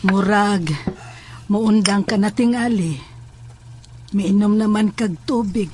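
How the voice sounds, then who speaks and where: A woman's voice: mid-range voice, dark tone, slight background noise, natural voice. A woman with a Filipino accent playing a watchful, inquisitive mother of a grown son in an audio drama.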